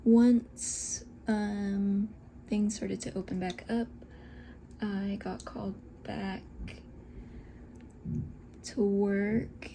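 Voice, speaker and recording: A woman in her twenties, born in Scotland, chatting softly in a breathy half-whisper while doing her makeup at home, unhurried and full of little fillers. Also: medium pitch, flat delivery, slight background noise, low-quality recording. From Scotland, raised in Texas. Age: 20s. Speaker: esthetician and beauty vlogger. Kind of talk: soft whispered get-ready-with-me chat.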